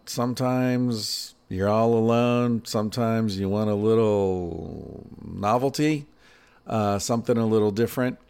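A man with a very low, gravelly voice hosts his own podcast. He talks casually and playfully, cracking jokes between listener greetings and an ad read. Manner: playful, joking, animated asides. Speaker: podcast host.

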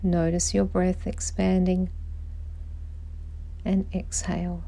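A woman guiding a meditation in a calm, soothing voice, giving measured instructions with pauses. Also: fairly low pitch, vocal fry, slight background noise, rough recording. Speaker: meditation guide, podcast host. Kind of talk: slow guided meditation narration.

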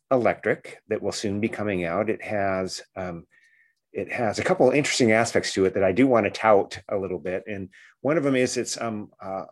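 A man co-hosting a podcast, talking casually and enthusiastically with many fillers and restarts. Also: on the low side. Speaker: podcast co-host.

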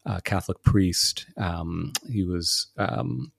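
Deep gravelly man, measured explainer. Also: a bit bright, no echo, clean studio sound. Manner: measured, explanatory, frequent "uh" and "um" fillers. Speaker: podcast guest, writer. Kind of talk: thoughtful interview answers.

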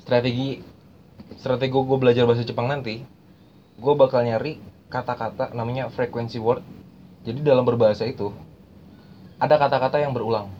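A man's voice: medium pitch, fairly even intonation, a little fast, long pauses, warm tone. A young man hosting a casual podcast chat, explaining language learning in slang and repeating phrases as he goes. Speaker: podcast host. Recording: muffled lo-fi recording with faint noise.

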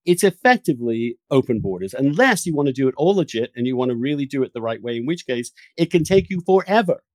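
Male podcast host, mid-range voice, halting but emphatic political talk, close dry recording. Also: mid-range voice, big pitch swings, a little mellow, no room sound. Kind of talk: opinionated political discussion.

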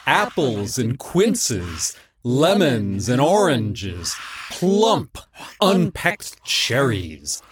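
A man voicing a chorus of goblin merchant men, hawking their fruit in a shrill sing-song cry. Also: breathy edge, exaggerated voice. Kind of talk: dramatic poetry reading.

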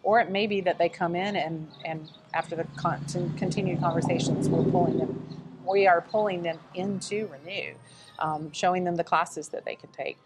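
A woman's voice: on the low side, normal speed, background noise. A woman with a light Southern accent, a guest telling stories in a conversational interview and acting out the people in them. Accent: Southern American. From American South. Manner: animated storyteller, acts out quoted dialogue. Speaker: nonprofit staff guest.

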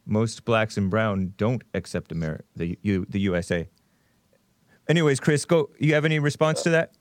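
A man hosting a call-in show, moving briskly between the caller and the guest. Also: fairly low pitch, lively intonation, no room sound, studio quality. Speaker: show host.

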